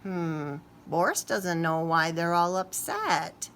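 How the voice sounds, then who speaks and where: A woman reading a picture book aloud to young children expressively, pausing to ask them questions. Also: on the low side, fairly bright tone, a little hiss or hum. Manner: talks to young listeners, asking questions. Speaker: children's story reader.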